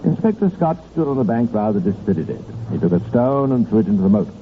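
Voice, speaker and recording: A man narrating a 1950s radio crime drama in a measured, grave, deliberate storytelling style. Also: fairly low pitch, very animated, narrow, tinny audio. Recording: vintage band-limited radio recording, faint noise.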